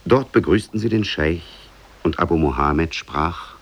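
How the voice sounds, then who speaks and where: Deep, creaky-voiced male storyteller. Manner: measured, storytelling. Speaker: narrator. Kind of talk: scripted storybook narration, 1950s radio.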